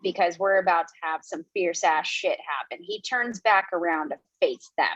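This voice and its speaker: A woman reading the stage directions in a screenplay table read, brisk and casually playful. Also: on the low side, a bit bright, no room sound. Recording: dull lo-fi home mic.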